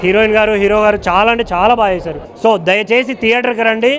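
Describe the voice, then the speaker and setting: A man with a high voice talks briskly and earnestly, reviewing for the camera. People and street sound are clearly audible around him outdoors. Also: a bit bright, a faint crowd. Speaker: moviegoer giving a film review.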